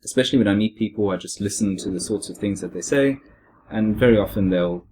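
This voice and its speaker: A young man with a foreign accent, hosting a casual podcast discussion in a measured, reflective way. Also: lowish voice, slight background noise, low-quality recording. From born abroad, moved to Australia at fifteen. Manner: measured, reflective, with frequent "uh" and soft "mm-hmm" backchannels. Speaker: podcast host.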